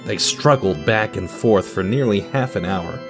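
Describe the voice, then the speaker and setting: A man narrating a scripted story as a dramatic storyteller, with deliberate pauses and direct address to the listener. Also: fairly low pitch, music in the background.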